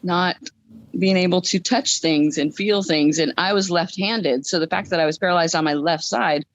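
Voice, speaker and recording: Female podcast guest, fifties, lower-pitched, emphatic conversational storyteller, slightly muffled lo-fi sound. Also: normal speed. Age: 50s.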